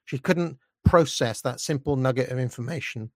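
A man's voice: mid-range voice, normal speed, dry recording, studio quality, lightly acted. A man voicing a snobbish, petulant young male narrator of a story, with pompous, contemptuous, precise delivery. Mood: scornful. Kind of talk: first-person dramatic story reading.

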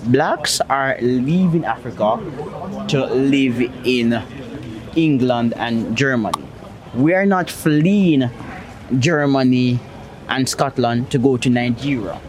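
A man's voice: mid-range voice, slow. A man speaking emphatically in a casual street conversation, repeating his points with force. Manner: emphatic, repetitive, declarative. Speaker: interviewee. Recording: crowd noise of a busy street.

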